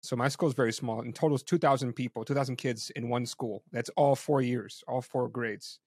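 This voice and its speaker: A man's voice: medium pitch, fairly even intonation, a little fast, darkish tone, no room sound, clean studio sound. A man hosting an interview, casual and curious, often restarting his sentences. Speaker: interview host. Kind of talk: interview conversation.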